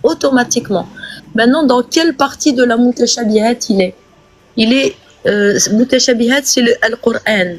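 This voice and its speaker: A woman's voice: a little high, moderate pace. A woman lecturing insistently in a heated religious debate on a live stream, repeating her key points. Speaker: guest speaker in a live debate.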